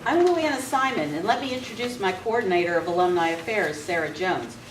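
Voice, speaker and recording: A woman's voice: fairly low pitch, animated, brisk, close to an everyday voice. A woman playing a polite, concerned university president in an amateur radio script reading, with formal manners. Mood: gracious, businesslike. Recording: roomy, distant camera mic, faint noise.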